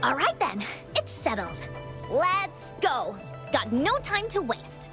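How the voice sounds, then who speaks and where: Cocky plucky little girl game character, high n very animated, faint music under it. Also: slow, exaggerated voice. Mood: eager, rallying. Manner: childlike, self-assured, speaks of herself by name. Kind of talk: video game voice acting.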